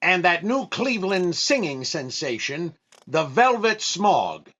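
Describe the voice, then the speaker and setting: Man playing a slow, bright-voiced droll radio comic. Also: high voice, sing-song, breathy edge, exaggerated voice. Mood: droll, selling. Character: droll hesitant male radio comedian as disc jockey sidekick. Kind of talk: 1940s radio comedy acting.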